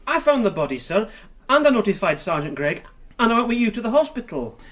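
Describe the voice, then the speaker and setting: An actress playing a naive young junior police officer in a radio crime drama, earnest, deferential and easily puzzled. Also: female voice, mid-range voice, big pitch swings, fairly quick, lightly acted. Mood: earnest pleading. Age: young adult.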